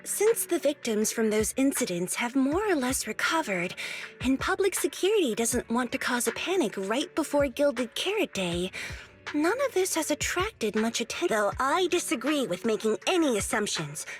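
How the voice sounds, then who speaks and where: Lively high-voiced young starlet, teasing. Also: female voice, normal speed, soft background music. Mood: brisk, assured. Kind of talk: video game voice acting.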